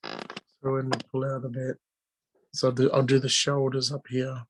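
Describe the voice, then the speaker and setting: A man teaches drawing in an informal online lesson. His voice is hoarse and strained from illness, at a medium pitch. He explains each step casually as he draws, speaking over a video call. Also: completely flat delivery, moderate pace. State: hoarse, voice strained from being sick.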